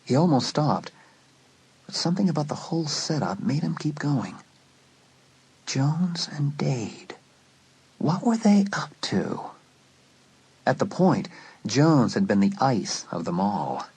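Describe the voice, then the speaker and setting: A man narrating a suspense story in a dramatic, scripted style, letting phrases drop to a hush. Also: on the low side, husky. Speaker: audiobook narrator.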